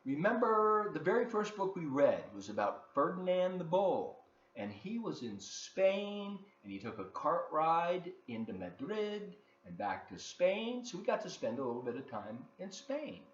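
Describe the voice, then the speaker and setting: Animated man reading to kids, roomy sound. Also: high voice, a little slow. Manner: expressive, addresses children directly with questions. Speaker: volunteer children's story reader. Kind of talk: read-aloud storytime for kids, with asides. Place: living room at home. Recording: dull, roomy home recording with faint noise.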